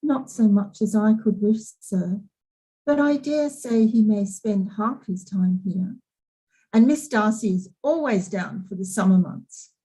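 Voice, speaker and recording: An actress plays a proud housekeeper in a period drama. Her medium-pitched voice is dark and husky. She speaks earnestly and deferentially, devoted to the house she serves. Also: unhurried, rough recording. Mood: fond pride. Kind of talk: staged reading of period drama.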